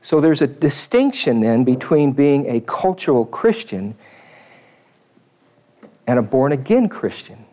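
Preacher, male, mid-pitched, thoughtful and measured delivery. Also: very animated, a little mellow. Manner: thoughtful, measured, reads quotes aloud. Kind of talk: sermon.

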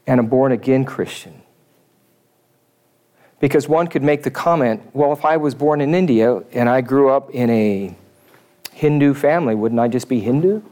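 A man preaching a sermon in a thoughtful, measured way, pausing to read quotations aloud. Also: medium pitch, warm tone.

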